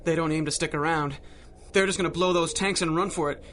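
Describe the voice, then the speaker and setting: An actor playing a nervous, whining low-level gangster in a radio drama, with stammering, slangy tough-guy talk. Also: fairly even intonation, fairly quick, a bit bright, slight background noise, natural voice. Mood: frightened. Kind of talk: old-time radio drama acting.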